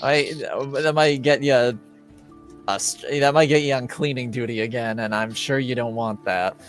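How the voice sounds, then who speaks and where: A man acting as game master, narrating a tabletop game in a casual, halting, laid-back manner. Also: mid-range voice, steady tone, faint music behind.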